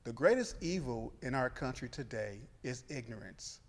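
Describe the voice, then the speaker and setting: A man's voice: mid-range voice, lively intonation, normal speed. A man, a church member, reading quotations aloud in a solemn, scripted way. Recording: long hall reverb.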